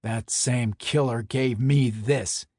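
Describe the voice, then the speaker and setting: A man's voice: medium pitch, steady tone, slow pace, darkish tone, no echo, clean studio sound. A man playing a curt, world-weary criminal enforcer with a short fuse, terse and defiant in dramatic audio fiction.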